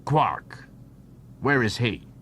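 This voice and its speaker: A man's voice: big pitch swings, extremely slow, fairly bright tone, heavily acted. A man voicing a stern, sceptical station constable with a formal, clipped, interrogating manner in an audiobook. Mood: stern, probing. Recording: noisy track with low rumble.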